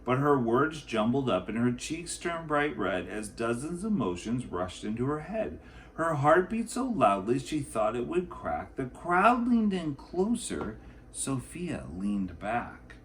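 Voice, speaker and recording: A man, a teacher, reading a children's picture book aloud in an expressive storytelling style. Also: medium pitch, a little slow, a little hiss or hum.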